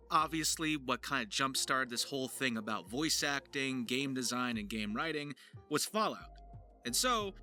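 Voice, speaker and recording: A man with a very bright, forceful voice and lively, swinging intonation, set slightly high. He narrates his video in an upbeat, emphatic style, like an enthusiastic creator, with faint music behind him.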